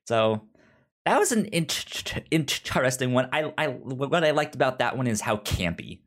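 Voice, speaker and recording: A podcast co-host chatting casually about a show, haltingly, often repeating starts while searching for words. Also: medium pitch, very animated. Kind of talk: casual chat recapping a TV show.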